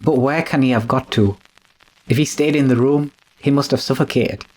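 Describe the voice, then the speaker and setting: A man's voice: mid-range voice, normal speed, a little mellow, natural voice, poor audio. A man playing a loyal, deferential manservant in a radio drama, hesitant and anxious. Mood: wary. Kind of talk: old-time radio drama acting.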